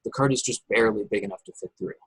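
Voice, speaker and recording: A man with a husky voice reading stage directions as narrator of a script table read over a video call. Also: lowish voice, racing, no echo, low-quality recording. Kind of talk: scripted narration with occasional stumbles.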